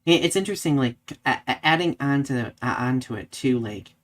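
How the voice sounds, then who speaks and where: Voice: mid-range voice, animated, brisk. A podcast co-host talking in a casual, conversational way, often dropping in "like" while thinking aloud. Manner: conversational, peppered with "like". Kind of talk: casual discussion, reading out live comments.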